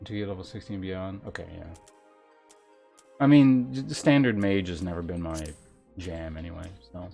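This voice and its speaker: A man's voice: deep voice, moderate pace, vocal fry. A man livestreaming a game, chatting informally as he thinks aloud and reads game text. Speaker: game streamer. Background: game music.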